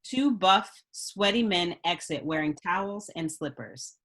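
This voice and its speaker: A woman's voice: on the low side, animated, unhurried, fairly bright tone. A woman reading screenplay stage directions as a narrator at a script table read.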